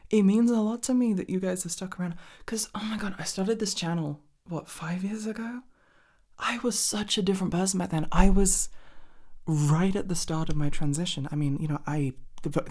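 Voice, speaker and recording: A woman's voice: on the low side, a little mellow. A woman rambling casually and intimately to the listener, informal and sweary, with a giggly, self-deprecating way of talking. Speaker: ASMR content creator and roleplay performer.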